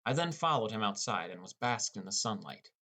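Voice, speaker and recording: A man's voice: fairly low pitch, big pitch swings, brisk, sharp tone, exaggerated voice. A man playing a wry, inexperienced teenage boy who narrates his own game adventure in an audiobook. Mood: narrating. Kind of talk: first-person audiobook narration with character dialogue.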